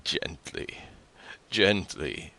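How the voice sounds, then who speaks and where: Man playing a gentle, halting old father. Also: low voice, very animated, gravelly, exaggerated voice. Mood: gentle, cautious. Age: older. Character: frail, tender, cautious old father.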